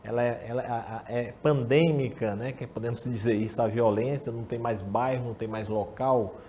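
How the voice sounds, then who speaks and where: A man's voice: medium pitch, steady tone, a little fast, warm tone, a little hiss or hum. A man, a professor giving emphatic political commentary on a talk show, often repeating words as he builds his point.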